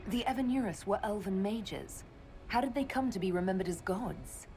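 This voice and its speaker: A young elf heroine, voiced by a woman asks pointed questions in a mid-pitched, steady voice, wounded yet direct. Game music runs under her lines. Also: moderate pace, close to an everyday voice. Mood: probing. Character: young female elf leader, hurt but searching. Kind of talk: video game voice acting. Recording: game audio with music score.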